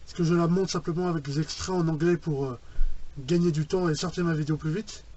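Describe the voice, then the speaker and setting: A man's voice: a little high, steady tone, fast talker, warm tone, muffled recording. A man giving casual video commentary, thinking aloud informally and repeating himself. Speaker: reaction video host. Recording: roomy, echoey sound.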